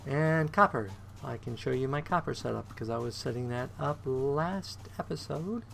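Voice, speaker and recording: A man giving casual gameplay commentary on his own play, a bit croaky today, counting items aloud and humming to himself. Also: medium pitch, moderate pace, fairly bright tone, slight background noise. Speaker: game streamer.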